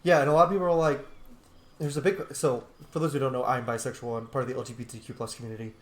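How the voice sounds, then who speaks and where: A man giving casual gameplay commentary, blunt, opinionated and quick to swear. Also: mid-range voice, fairly even intonation. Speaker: gaming streamer. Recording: roomy echo, game music behind. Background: game music.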